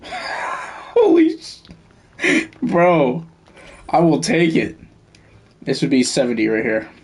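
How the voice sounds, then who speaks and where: A man's voice: on the high side, wildly swooping pitch, takes it slowly, gravelly, darkish tone, husky. A man giving casual live gameplay commentary, thinking aloud in an informal way. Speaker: game streamer. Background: faint game music.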